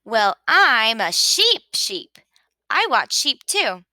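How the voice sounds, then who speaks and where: A woman's voice: fairly high pitch, sing-song, slow pace, pushed and bright, no room sound, exaggerated voice. A woman voicing a bubbly, cocksure female sheep in a children's picture book, breezy and exclaiming. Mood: breezy, bossy. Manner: breezy, self-assured, exclaiming.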